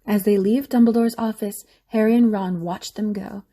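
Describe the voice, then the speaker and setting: A woman's voice: medium pitch, fairly even intonation, moderate pace, mellow. A woman reading scripted story narration for an audio drama.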